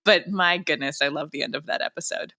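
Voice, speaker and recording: A woman's voice: low voice, fast talker. A woman answering interview questions as an audio drama creator, talking in an enthusiastic, gushing and emphatic way. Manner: gushing, emphatic, animated wording.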